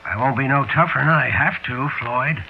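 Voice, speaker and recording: Actor as gravelly, sly American fugitive crook. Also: male voice, mid-range voice, moderate pace, close to an everyday voice. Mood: coaxing menace. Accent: American, urban street slang. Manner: chuckling, slangy, ungrammatical wheedling talk. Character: sly, chuckling fugitive crook, quietly menacing. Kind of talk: 1940s radio acting. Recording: tinny, band-limited 1940s radio recording.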